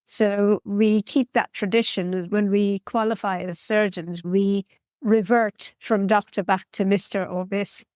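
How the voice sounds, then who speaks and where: A woman guest from Guyana explains her work in a practical, matter-of-fact way. Her voice sits at a middle pitch and is recorded close and dry, with no room sound. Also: fairly even intonation, a little slow, darkish tone, dry recording. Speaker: orthopedic surgeon guest. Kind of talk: interview answers.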